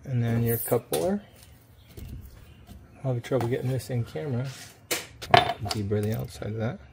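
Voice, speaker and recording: A man's voice: medium pitch, normal speed, creaky, very noisy. A man giving a casual hands-on how-to, thinking aloud informally as he works with tools on camera. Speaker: DIY video maker demonstrating a build.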